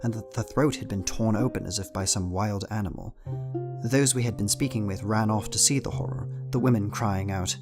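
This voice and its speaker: A solemn young Englishman played by a male actor recounts dark events from his journal in a Gothic audio drama. His voice sits on the low side, weary and literary, with clear music playing underneath. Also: fairly quick, close to an everyday voice. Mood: grave. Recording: music behind the voice. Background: plucked string music.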